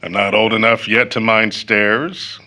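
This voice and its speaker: Courteous young gentleman, bright voice. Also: fairly low pitch, steady tone, unhurried. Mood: courteous, amused. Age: young adult. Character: polite, amused young gentleman caller. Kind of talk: stage-style period audio drama acting.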